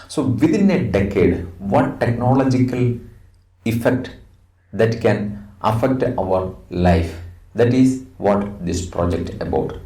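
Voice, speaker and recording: Male teacher, Indian accent, slow and animated delivery, didactic, recorded from a little distance indoors. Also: medium pitch. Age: middle-aged. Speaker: English teacher. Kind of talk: lesson, reading a script aloud and explaining it. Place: indoor room. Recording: roomy echo, distant microphone.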